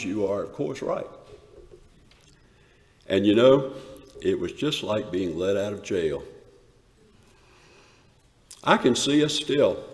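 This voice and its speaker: Man playing an awestruck shepherd, echoing church hall. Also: lowish voice. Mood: ecstatic, then elated reminiscence. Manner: emphatic, dramatic pauses, swells from hushed to shouted. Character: awestruck rough-living male shepherd recalling a wondrous night. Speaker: dramatic reader. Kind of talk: theatrical dramatic monologue reading. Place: large church, live performance. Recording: echoey church hall, long reverb.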